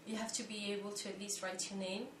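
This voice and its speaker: Late-20s woman from belgium, explaining stuff slowly w/ lots of ums, kinda echoey room. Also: mid-range voice, little pitch movement, recorded from a distance. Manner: thoughtful, measured, with frequent pauses and fillers. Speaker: interviewee, volunteer. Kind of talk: explanatory interview answers. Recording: echoey room, faint background noise.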